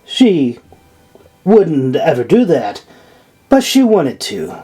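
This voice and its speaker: A man's voice: a little high, wildly swooping pitch, slow, mellow, husky, soft background music. A man narrating a fantasy audiobook from a script, as the author of the story. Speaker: author reading own audiobook.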